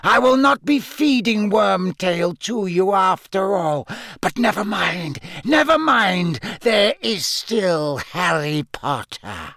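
A man voicing a cruel dark lord in a storybook, with a cold, high-pitched voice and a menacing, deliberate way of talking. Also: sing-song, dry recording, full character voice. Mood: cold gloating. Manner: menacing, mock-lenient, deliberate.

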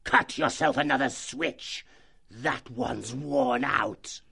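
A man's voice: medium pitch, vocal fry, bright, forceful tone, husky, voice pushed up, heavily acted. A man voicing a cruel, hooded male fox who leads a band of slavers, with a harsh, grating, bullying way of talking. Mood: menacing. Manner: menacing, bullying, drawn-out threats. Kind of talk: audiobook character voice.

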